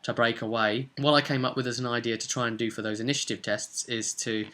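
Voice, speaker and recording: Bright-voiced man casually recapping a game. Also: medium pitch, flat delivery. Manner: rambling, halting delivery. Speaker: game master recapping a tabletop role-play session. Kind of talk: casual unscripted storytelling recap.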